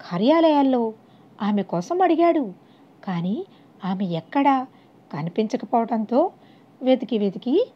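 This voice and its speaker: A woman's voice: sits high, husky. A woman narrating a scripted moral story as an audiobook narrator, on a dull, lo-fi recording.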